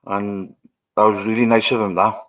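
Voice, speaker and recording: A man's voice: lowish voice, little pitch movement, normal speed, a bit bright, poor audio. A Welsh man hosting a casual livestream, rambling and musing aloud to his viewers. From Wales. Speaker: livestream host.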